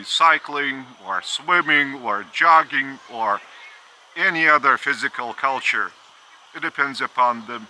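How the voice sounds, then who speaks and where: An older man with a Lithuanian accent gives a spiritual teaching outdoors, speaking slowly with halting repeats. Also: mid-range voice, bright, forceful tone, a little hiss or hum, rough recording. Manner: slow, halting, repeats words. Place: outdoors by a school stadium.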